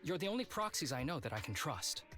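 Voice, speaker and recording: A man's voice: on the high side, very animated, quick pace, bright, forceful tone, faint music behind. A man voicing a laid-back, teasing young executive officer in a video game, languid and theatrical. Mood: serious.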